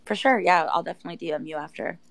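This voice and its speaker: Low-pitched creaky woman, casual promo talk. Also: racing, dry recording. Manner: conversational, peppered with "you know" and "like". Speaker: project team member and guest speaker. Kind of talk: casual promotional talk in a live audio chat.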